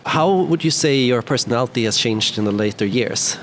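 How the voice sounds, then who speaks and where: A man's voice: medium pitch, fairly quick. A man whose first language is Swedish, hosting an interview in a casual way with many "you know"s and "right"s. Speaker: host, interviewer. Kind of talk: conversational interview. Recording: faint background noise.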